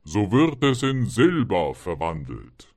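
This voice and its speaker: Slow, solemn spirit, acted out by a man. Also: fairly low pitch, huge pitch swings, heavily acted. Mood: solemn, proclaiming. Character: freed male spirit solemnly granting a magic gift.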